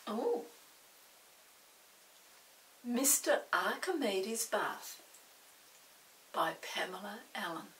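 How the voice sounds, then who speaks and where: Woman in her 60s, children's storytime reader, gentle, slow, whispers at times, roomy room sound, faint hiss. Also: medium pitch, sing-song, distant mic. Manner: gentle, often whispering to a puppet. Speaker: storytime host reading to children. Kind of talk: children's picture-book reading. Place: indoor room with a storybook set.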